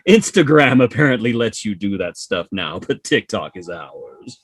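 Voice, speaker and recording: A man's voice: lowish voice, very animated, moderate pace, husky, no echo. A man chatting casually as a podcast guest, with sarcastic, joking asides. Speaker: podcast guest, hobby content creator. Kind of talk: casual chat.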